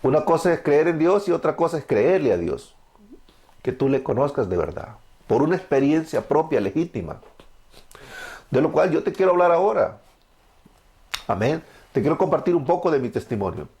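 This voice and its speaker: A man's voice: fairly high pitch, big pitch swings, moderate pace, pauses often, a little mellow. A man in his thirties from El Salvador, with a Salvadoran accent, preaching his testimony fervently and answering his own questions. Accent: Salvadoran Spanish. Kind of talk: evangelical testimony sermon.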